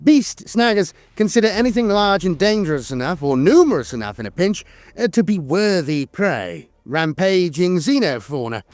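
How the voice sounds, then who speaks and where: A man's voice: sits high, big pitch swings, moderate pace, dry recording. A man narrating a scripted piece of fantasy lore in a dramatic storytelling manner.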